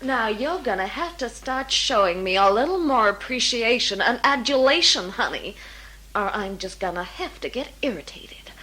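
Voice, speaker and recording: An actress playing a vain, sugary would-be star with a menacing streak in a sci-fi audio drama. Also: female voice, a little high, animated, normal speed, sharp tone, slight background noise, poor audio. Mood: indignant, threatening. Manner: sugary, self-dramatising, honey-calling.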